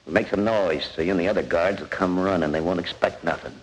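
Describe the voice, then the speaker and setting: An American actor playing a ruthless convict ringleader in a 1940s radio drama, barking orders in tough, slangy gangster talk. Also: male voice, deep voice, quick pace, close to an everyday voice, rough recording. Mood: commanding. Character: ruthless male convict ringleader, commanding and violent. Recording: old 1940s radio broadcast, background noise.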